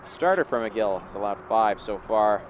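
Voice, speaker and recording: A man's voice: fairly low pitch, animated, moderate pace. Man calling baseball, noisy outdoor background. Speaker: play-by-play baseball commentator. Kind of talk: live sports commentary. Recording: clear outdoor background noise.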